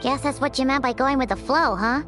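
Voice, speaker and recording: A woman voicing a tiny, cheeky, excitable childlike girl adventurer in a video game, perky and exclamatory. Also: high-pitched, brisk, over music, natural voice. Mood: cheeky, questioning. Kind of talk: video game character dialogue and battle shouts.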